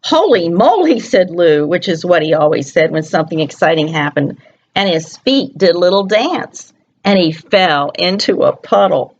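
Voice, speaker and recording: A woman's voice: fairly low pitch, moderate pace, muffled recording. A woman storyteller reads a picture book aloud to young children, expressively, often putting questions to her listeners.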